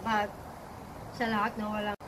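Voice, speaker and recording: Filipina host in her forties, casual and chatty, filmed outdoors on a camera mic, with clear background noise. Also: mid-range voice, completely flat delivery, normal speed. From Philippines. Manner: chatty, switches between English and Tagalog. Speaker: cooking vlog host. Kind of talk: casual cooking demo. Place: small back garden, outdoors. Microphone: camera a few feet away.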